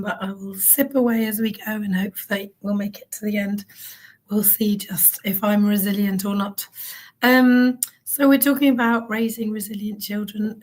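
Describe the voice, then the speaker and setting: A woman with a croaky, hoarse voice giving an earnest conference talk on a stage, with frequent ums. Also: mid-range voice, a little mellow, low-quality recording. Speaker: keynote speaker on child wellbeing. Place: event stage.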